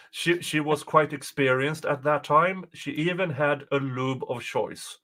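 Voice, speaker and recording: A man co-hosting a livestream, chatting casually in a jokey, halting way. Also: on the high side, a bit bright. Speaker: co-host of a true-crime livestream. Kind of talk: casual chat commentary.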